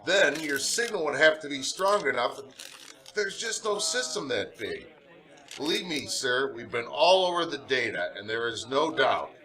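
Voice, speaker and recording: A man's voice: mid-range voice, big pitch swings, moderate pace, bright, forceful tone. An actor playing an earnest, deferential researcher who is sure of his data, speaking emphatically in radio drama style. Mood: insistent. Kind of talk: old-fashioned radio drama acting.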